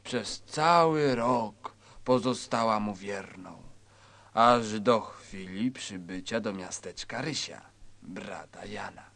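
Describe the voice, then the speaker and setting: Slow mock-dramatic male narrator. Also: on the low side, vocal fry, a bit bright. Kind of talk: theatrical scripted narration of a spoof crime story.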